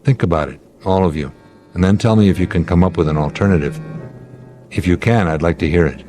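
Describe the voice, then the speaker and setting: Man playing a low-voiced, deliberate American airline captain. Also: darkish tone, soft background music, natural voice. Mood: grave. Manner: deliberate, explanatory, authoritative. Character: calm, reasoning middle-aged airline captain under pressure. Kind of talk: audio drama acting.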